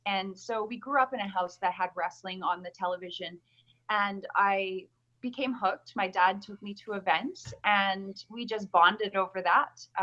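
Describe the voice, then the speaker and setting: A woman's voice: mid-range voice, sharp tone. A woman from Calgary with a mild Albertan Canadian accent, chatting earnestly as an interview guest with frequent ums. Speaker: guest, nurse and pageant winner. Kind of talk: casual interview answers. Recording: dull lo-fi call audio.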